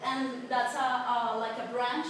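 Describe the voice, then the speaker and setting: A woman's voice: fairly high pitch, steady tone, slow pace. A woman in her twenties from Colombia, a native Spanish speaker with a Colombian accent, giving a chatty class presentation with many fillers and restarts. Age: 20s. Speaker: student presenter. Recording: echoey, noisy room from a distance.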